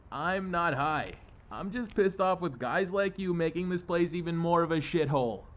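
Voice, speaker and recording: A man's voice: a little high, moderate pace, natural voice. A man playing an earnest, wry teenage comic fan turned amateur superhero, narrating a comic dub in a confessional way. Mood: defiant, nervous. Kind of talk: comic dub, first-person narration and dialogue.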